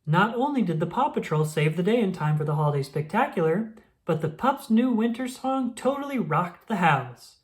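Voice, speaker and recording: A woman reading a children's picture book aloud in an expressive storytelling manner. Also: on the low side, moderate pace. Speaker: storybook reader.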